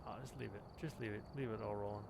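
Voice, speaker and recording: A man's voice: on the low side, steady tone, racing, creaky, darkish tone, slight background noise. A man co-hosting a podcast from his basement, chatting casually in a wry, self-deprecating way. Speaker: podcast co-host. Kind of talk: casual, joking chat. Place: basement at home.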